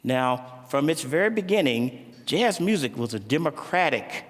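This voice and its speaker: A man's voice: fairly high pitch, big pitch swings, measured pace. A man from Florida, a jazz pianist and bandleader, giving a gracious, formal speech to an audience. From Florida, USA. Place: large indoor arena. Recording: reverberant arena sound.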